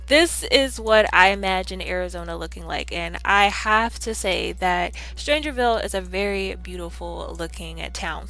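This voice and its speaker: A young woman chatting casually on a live stream, upbeat and rambling, with a slightly hoarse, scratchy throat. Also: mid-range voice, a bit bright.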